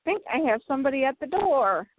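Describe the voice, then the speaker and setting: A woman's voice: sits high, very animated, quick pace, no room sound. Chatty woman host on a tinny line. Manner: chatty, rambling, easily sidetracked. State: tired. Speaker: podcast host. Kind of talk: casual chat show.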